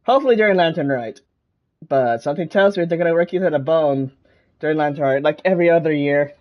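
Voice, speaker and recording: A woman's voice: sits low, quick pace, dull audio. A woman streamer giving casual commentary while playing a video game. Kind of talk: casual let's-play commentary. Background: game music.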